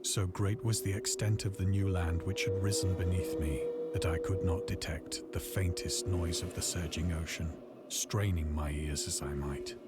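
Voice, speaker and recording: A man voicing a lone castaway in a game, narrating his ordeal in a grave, measured way over game music. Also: low voice, fairly even intonation, natural voice. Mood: somber. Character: lone male castaway recounting dread, solemn. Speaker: narrator of a visual novel. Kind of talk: scripted literary narration. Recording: game audio under loud music.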